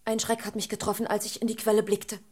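A woman's voice: medium pitch, monotone, fast, warm tone, no echo, lightly acted. An actress playing a timid, fearful young fisherman's wife in a radio play, with a pleading manner. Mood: anxious, meek. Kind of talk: German radio play acting.

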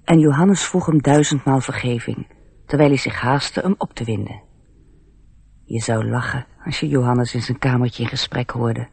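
A man's voice: medium pitch, creaky, slightly rough, dry recording. A man playing an earnest storyteller who narrates a radio play, confiding directly in the listener. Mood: gently amused. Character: intimate, gently earnest male storyteller.

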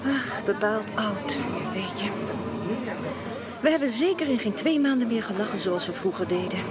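A woman's voice: medium pitch, natural voice. An actress playing a well-bred, brittle young society woman hiding sorrow in a 1980s Dutch radio drama. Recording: busy chatter of people behind.

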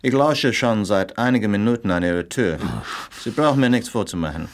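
An actor in a German radio drama playing a threatening, suspicious foreign correspondent who needles and probes sardonically. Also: male voice, on the low side, brisk, close to an everyday voice. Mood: coolly threatening.

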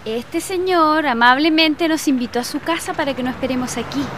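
An actress plays a courteous, affectionate mother in scripted family drama. Her voice is high, and there is faint noise in the background. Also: brisk, fairly bright tone. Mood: polite. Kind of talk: scripted educational drama dialogue.

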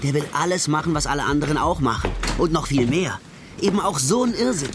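A male actor plays an agitated, evasive young man in a radio crime play. His voice sits fairly high and swings widely in pitch. He talks in rough street slang, with loud music behind him. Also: animated, fast talker, natural voice. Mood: sardonic. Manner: slangy, agitated, often shouting. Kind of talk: radio crime drama, first-person narration and dialogue.

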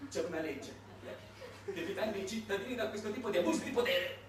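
A man performing a satirical theatrical monologue with ironic, halting, dramatic delivery. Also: sits high, distant mic. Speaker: monologue actor and narrator. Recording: very echoey room, recorded from afar.

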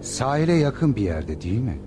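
A man acting a seafaring wine merchant in a radio drama, polite and resolute. His medium-pitched voice moves in lively swings, with faint noise behind. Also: normal speed, natural voice. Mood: calm, guarded.

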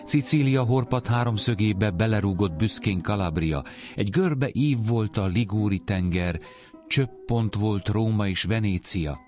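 A deep-voiced man recites poetry in a literary reading style. Faint plucked guitar plays softly behind him. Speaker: poetry reader. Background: soft plucked guitar music.

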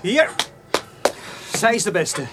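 An actor playing a proud young dairy farmer in a radio play, enthusiastic and explanatory. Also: male voice, sits high, big pitch swings, takes it slowly, over music, heavily acted. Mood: proud, keen. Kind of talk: radio drama dialogue.

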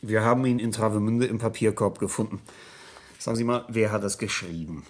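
An actor playing a politely insistent police inspector, asking hesitant, probing questions in a 1970s German radio crime drama. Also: male voice, fairly low pitch, fairly even intonation, fairly quick, natural voice.

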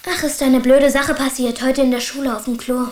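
A woman's voice: high-pitched, flat delivery, brisk, reverberant, close to an everyday voice. A woman playing a troubled but plucky schoolboy in a German radio drama, talking in a colloquial, matter-of-fact way. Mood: sheepish.

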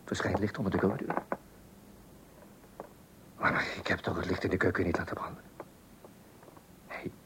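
A man's voice: sits low, little pitch movement, normal speed, long pauses, natural voice. An actor playing a fussy, bossy middle-aged husband and father in a radio comedy, muttering and stammering. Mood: anxious muttering. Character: flustered, bossy husband and father, hunting a burglar at night. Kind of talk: radio comedy play acting and narration. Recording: noisy, clicky old radio play recording.

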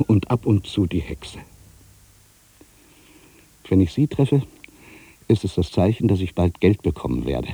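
An ageing writer in a radio play monologue, with an extremely low, creaky voice. He broods and grumbles theatrically, swinging widely in pitch from indignant exclamations to hushed, suspicious asides. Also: big pitch swings, measured pace, pauses often, full character voice. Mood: scornful, indignant. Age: older. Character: ageing writer, musing, irritable, suspicious, quietly superstitious.

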